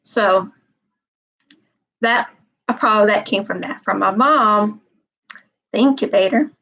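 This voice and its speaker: A woman's voice: mid-range voice, slow, vocal fry, a bit bright, highs cut off. A woman in her twenties chatting casually on a live stream, wandering and repeating herself. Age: 20s. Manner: meandering, repeats herself, frequent "um". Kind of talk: rambling casual live chat.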